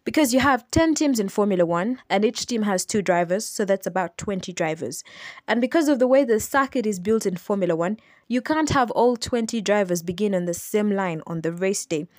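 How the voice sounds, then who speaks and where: A young adult woman with a Ugandan accent, hosting a solo podcast and explaining things in an unhurried way with some hesitant fillers. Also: medium pitch, expressive, dry recording, clean studio sound. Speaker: podcast host.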